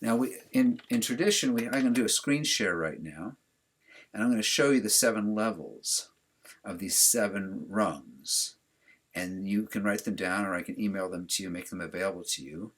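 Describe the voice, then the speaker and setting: A man's voice: on the low side, normal speed, fairly bright tone, breathy edge. A man teaching a religious class over a video call, talking casually and putting questions to his students. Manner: conversational, asks the class questions.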